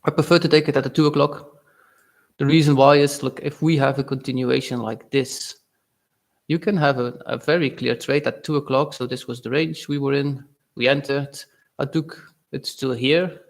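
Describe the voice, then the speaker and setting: Gravelly-voiced man on a live stream. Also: mid-range voice. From Belgium. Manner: casual, halting, reads chat aloud with fillers. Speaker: day trader streaming live. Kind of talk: live-stream trading commentary.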